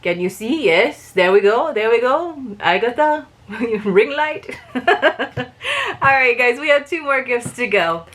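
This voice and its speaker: Animated, chatty woman reviewer. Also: on the high side, slow. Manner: chatty, animated, laughs often. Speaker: book subscription reviewer. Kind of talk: casual unboxing chat.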